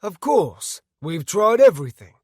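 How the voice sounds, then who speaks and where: A gruff, no-nonsense village leader barking plain, salty words, as a man puts him on. His voice rides higher and louder than the narrator's, with plenty of rise and fall. Dry, close sound. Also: sing-song, unhurried, no echo, heavily acted. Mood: grave. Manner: blunt, forceful, salty. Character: gruff middle-aged male village leader.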